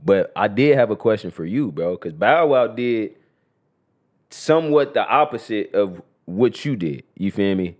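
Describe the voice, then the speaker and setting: A man's voice: lowish voice, lively intonation, unhurried, a little mellow. A young man from Columbus, Ohio, co-hosting a podcast in a loose, slangy, casual chat. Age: young adult. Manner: loose, slangy, frequent "you feel me". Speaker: podcast co-host.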